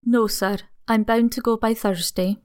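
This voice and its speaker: A man's voice: high-pitched, steady tone, clean tone, pitched above the performer's own voice. A man voicing an old housekeeper woman. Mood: placid. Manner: slow, hushed, ominous. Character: old country housekeeper woman, placid, superstitious.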